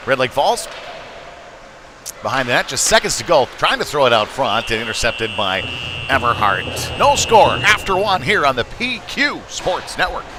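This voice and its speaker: A man's voice: medium pitch, big pitch swings, moderate pace. A man doing live play-by-play hockey commentary in a folksy, conversational way. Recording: noisy rink with clear background noise.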